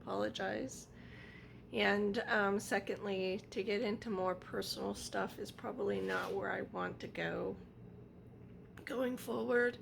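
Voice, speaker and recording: A woman vlogger losing her voice, talking slowly and reflectively with many pauses. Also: mid-range voice, fairly even intonation, gravelly, a little hiss or hum. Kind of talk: casual vlog chat.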